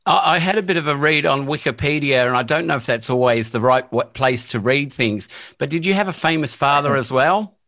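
A man hosting a podcast interview, speaking slowly and hesitantly with many fillers. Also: medium pitch, expressive, pushed and bright, dry recording. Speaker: podcast host. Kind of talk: casual interview.

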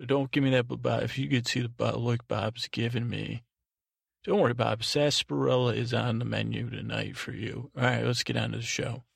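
Guy hosting a sleep podcast, super creaky monotone, wanders and repeats himself, close dry sound. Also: mid-range voice, dry recording. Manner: meandering, halting, repeats words and drifts off on tangents. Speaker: podcast host. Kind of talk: rambling bedtime podcast monologue. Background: music.

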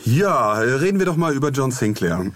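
A man's voice: mid-range voice, very animated, fairly quick, faint music behind. A man co-hosting a podcast in casual, joking conversation. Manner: joking, bantering. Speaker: podcast co-host.

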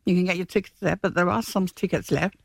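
A woman's voice: fairly low pitch, fast talker, gravelly, no echo, studio quality. A woman chatting as a guest in a radio interview. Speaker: radio guest, stage manager. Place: radio studio.

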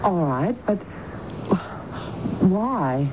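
Radio drama wife, female, genteel, troubled, polite, very unhurried pace, muffled noisy broadcast. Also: fairly low pitch, very animated, exaggerated voice. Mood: polite, curious. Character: troubled, genteel married woman under a doctor's care.

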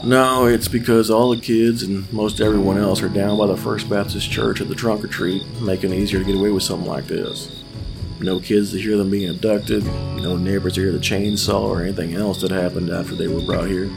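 An actor playing a weary older small-town sheriff, grim, folksy and patient with an edge of menace, in an audio drama monologue with a gravelly voice. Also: male voice, fairly low pitch, flat delivery, loud music behind the voice. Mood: blunt, grim. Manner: folksy, slow, deliberate storytelling to a rookie.

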